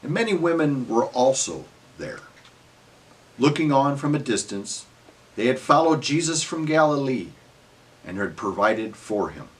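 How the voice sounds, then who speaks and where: A man narrating scripture as a solemn dramatic reading, in measured phrases that trail off at the ends. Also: medium pitch, rough recording.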